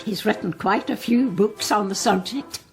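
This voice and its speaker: A woman's voice: mid-range voice, normal speed, gravelly, lightly acted. An older woman with a Scottish accent playing a proud, genteel, talkative mother in a radio drama. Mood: proud, chatty. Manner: genteel, chatty, boastful. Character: proud, talkative mother of a grown psychic son. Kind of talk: naturalistic radio drama acting.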